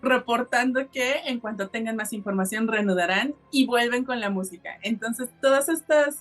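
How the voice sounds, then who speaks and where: A woman's voice: fairly high pitch, moderate pace, a bit bright, faint music behind. A woman with a Mexican accent hosting a podcast, telling a story in a casual, colloquial and explanatory way. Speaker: podcast host.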